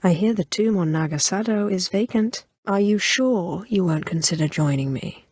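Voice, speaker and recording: A woman's voice: medium pitch, normal speed, a little mellow, husky, no room sound, clean studio sound, lightly acted. A woman voicing a ruthless, sardonic Sith sorceress in a video game, haughty, cool and ambitious. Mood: dry sarcasm. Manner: haughty, cool, dryly sarcastic. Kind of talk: scripted video game voice acting.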